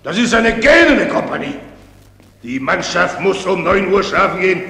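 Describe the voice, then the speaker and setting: An actor in a German radio drama playing a pompous, doddering general who gives pedantic, repetitive orders in a creaky voice. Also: male voice, high-pitched, big pitch swings, normal speed, sharp tone, full character voice. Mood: fussy, commanding. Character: pompous, doddering male general inspecting troops. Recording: very echoey hall, long reverb.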